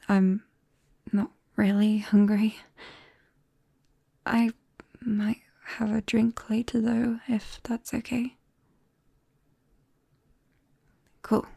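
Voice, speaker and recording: Casting: a woman playing a nervous young British vampire friend, slow, mellow and soft-spoken, for close-mic ASMR roleplay. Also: a little high, gravelly, no room sound, full character voice. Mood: shy, tentative. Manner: hesitant, soft-spoken, breaking into whispers and small nervous laughs. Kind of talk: intimate ASMR roleplay acting. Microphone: close-up microphone, dry.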